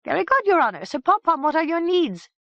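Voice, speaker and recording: A small male servant answers eagerly in a high, bright voice, as a woman reader puts him on. He fawns and hurries his words. The recording sounds dull and dry. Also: sing-song, dry recording, heavily acted. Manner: quick, eager, servile.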